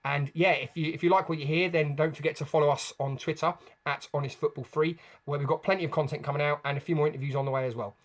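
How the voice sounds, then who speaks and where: A man's voice: a little high, fairly even intonation, fast, hardly any pauses. A man with an English accent hosting an interview podcast in a chatty, polite manner.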